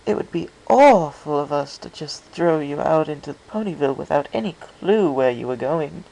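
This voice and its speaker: Male narrator as kindly, dramatic society-lady cartoon mare, genteel, lifted high above his own voice. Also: expressive, moderate pace, creaky, darkish tone, rough recording. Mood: insistent. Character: refined, concerned, kindly young lady cartoon pony.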